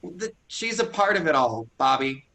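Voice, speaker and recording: A man's voice: fairly high pitch, big pitch swings, moderate pace, bright, forceful tone. A man reading a bitter young farmhand. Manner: bitter, snappish. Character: sullen young farm man, feels trapped. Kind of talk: staged play reading.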